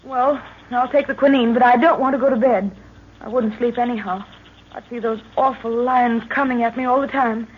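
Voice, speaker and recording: An actress playing a professor's plucky but shaken young daughter, melodramatic and genteel, in an old-time radio drama. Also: female voice, a little high, fairly even intonation, moderate pace, lightly acted. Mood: weary, plucky. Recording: dull, noisy vintage radio recording.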